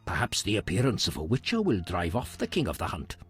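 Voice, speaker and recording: An actor playing a solemn, reverent hermit in a fantasy video game, with formal, measured phrasing and a husky voice. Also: male voice, deep voice, huge pitch swings, soft background music, heavily acted. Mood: solemn, instructive.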